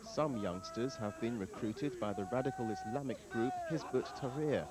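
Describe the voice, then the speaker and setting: A man narrating a television news report in a measured, formal way. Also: medium pitch, heavy background noise.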